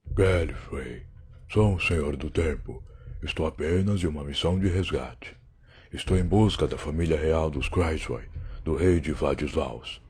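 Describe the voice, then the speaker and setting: A man acting a very deep, husky, brooding time-traveler. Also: measured pace, a little mellow, heavily acted. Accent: Brazilian. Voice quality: husky, gravelly. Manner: brooding, theatrical, sarcastic. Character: weary, defiant alien time-traveler, sardonic adult male. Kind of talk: dramatic first-person audio drama narration.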